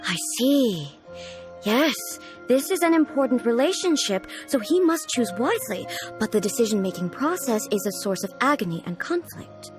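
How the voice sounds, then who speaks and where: A woman's voice: on the high side, big pitch swings, moderate pace. A woman playing a dignified, reclusive ruler in a video game, speaking formally and pensively with earnest, naive puzzlement. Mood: pensive, curious. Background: game music.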